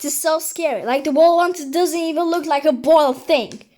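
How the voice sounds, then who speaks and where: Young female reaction host, high voice, casual, quick to blurt short asides and exclamations. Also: warm tone. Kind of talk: casual live reaction chat.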